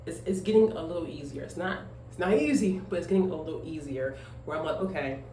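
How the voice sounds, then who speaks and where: A woman's voice: low-pitched, lively intonation, fast talker, dark tone. A twenty-five-year-old woman, a single mother, chatting casually at home while grooming, rambling with lots of fillers and emphatic asides. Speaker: vlogger, young single mother. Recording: echoey room, voice off-mic, faint noise.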